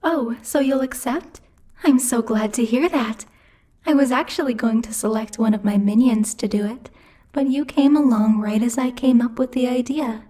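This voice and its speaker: A woman's voice: fairly high pitch, normal speed, dark tone, lightly acted. A woman voicing a bossy, teasing female demon who rules hell, speaking in a haughty, playfully menacing ASMR roleplay style. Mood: pleased, generous. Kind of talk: ASMR roleplay, intimate scripted monologue to the listener.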